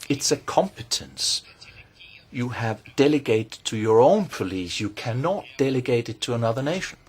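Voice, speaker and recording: A man giving measured, formal testimony to a committee, pausing often and hesitating between phrases. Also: medium pitch, expressive, a little hiss or hum. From Norway. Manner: measured, deliberate, with frequent pauses and hesitations. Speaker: invited speaker at a parliamentary hearing.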